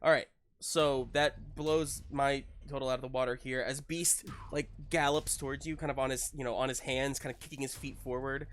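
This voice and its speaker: A man narrating a tabletop roleplaying game as game master, in an animated, casual storytelling manner with slangy asides. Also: medium pitch, moderate pace.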